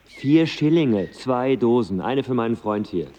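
A man playing a stubborn, gruff knight in a radio drama, curt and deadpan. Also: mid-range voice, a little slow, in a large hall, close to an everyday voice.

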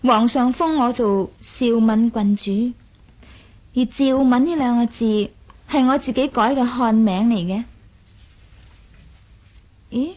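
A woman's voice: fairly high pitch, takes it slowly, pauses often, a little mellow. A woman playing a playful, poised and commanding young Mongol princess in an audio drama. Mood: poised, teasing. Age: young adult. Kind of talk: Cantonese audio drama acting.

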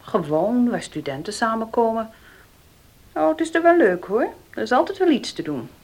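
A woman's voice: fairly high pitch, very animated, moderate pace, a little mellow. An actress playing a defiant young working woman in a radio play, speaking in a tense, evasive and curt way. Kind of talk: Dutch radio play acting.

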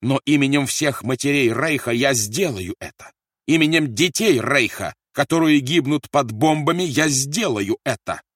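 Overwrought Nazi interrogator played by a male reader, barking threats at raised pitch, audiobook drama. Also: expressive, normal speed, a bit bright, slightly rough, no room sound, clean studio sound. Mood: furious shouting. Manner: commanding, menacing, barking orders.

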